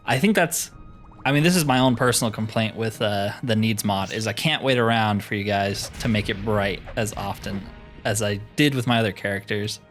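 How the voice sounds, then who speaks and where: A man's voice: on the low side, fairly bright tone. Casual man commentating over background music. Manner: informal, mild exclamations, musing aloud to viewers. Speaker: gaming channel host. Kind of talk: casual game playthrough commentary.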